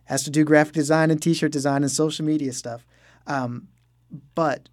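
A man's voice: mid-range voice, a little mellow. A man speaking as a musician interview guest, reflective and earnest in a conversational style.

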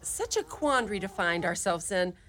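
A woman's voice: mid-range voice, big pitch swings, moderate pace, natural voice. A woman playing a poor, guilt-tripping old mother in a radio play, with melodramatic, old-fashioned speech. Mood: pleading, sly. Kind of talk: live radio play acting. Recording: live studio recording, clearly noisy ambience.

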